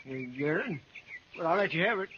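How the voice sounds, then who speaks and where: Actor as high-pitched, crotchety old frontier codger. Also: male voice, fairly quick, a bit bright, natural voice. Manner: crotchety, scornful, blunt. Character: cantankerous old male stagecoach passenger, crusty frontier codger. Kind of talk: 1950s radio western character acting. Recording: noisy, dull vintage 1950s radio recording.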